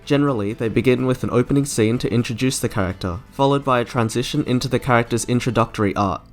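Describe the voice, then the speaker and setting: A man's voice: mid-range voice, quick pace, soft background music. A man narrating a scripted video essay as its host.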